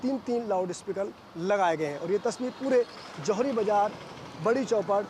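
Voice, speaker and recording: A man's voice: sits high, moderate pace, a little mellow. A man working as a field reporter, giving repetitive, explanatory live television coverage from a street. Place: outdoors in a busy market street. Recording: faint street and vehicle noise.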